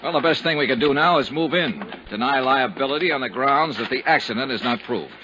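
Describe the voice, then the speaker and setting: An actor playing a dogged, hard-boiled insurance investigator in a 1950s radio detective drama, clipped, insistent and methodical. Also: male voice, medium pitch, expressive, fairly quick, natural voice. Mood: firm, pressing. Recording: dull, hissy 1950s radio recording.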